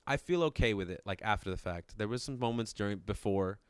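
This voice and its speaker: A man in his thirties with a very deep, low voice talks in a loose, rambling way. He keeps stopping and restarting his sentences and laughs often. The sound is dry and close, with no room echo. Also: fairly quick, smooth. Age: 30s. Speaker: podcast co-host. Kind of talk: casual chat.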